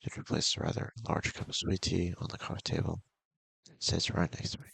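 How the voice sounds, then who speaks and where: A man doing a casual let's-play, reading a visual novel aloud in a halting, mumbling way with asides, over game music. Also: low-pitched, monotone, gravelly, a bit bright, slightly rough, dry recording. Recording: music playing behind the voice.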